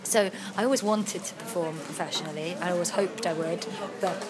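A woman's voice: fairly low pitch, a little fast, a loud crowd. A young Welsh woman, an actress and writer, chatting freely in an interview with lots of ums. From Wales. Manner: chatty, animated storytelling with frequent ums. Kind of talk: casual interview chat.

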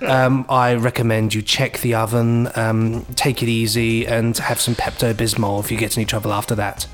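Music plays softly behind a British man of medium pitch. He chats as a podcast co-host in a jokey, whimsical way, rambling along in loose, casual banter. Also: little pitch movement, normal speed. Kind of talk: casual comic chat.

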